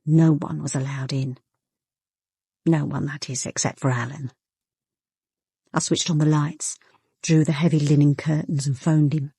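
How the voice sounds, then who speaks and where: A woman's voice: deep voice, little pitch movement, a little slow, pauses often, warm tone, slightly rough, no room sound, studio quality, full character voice. An actress with an English accent playing a young police inspector who narrates her private life in an intimate, weary, guarded way for a radio drama. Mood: reflective, guarded.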